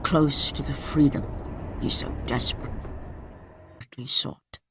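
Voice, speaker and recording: A solemn epic narrator. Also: female voice, a bass voice, steady tone, background noise. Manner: solemn, measured.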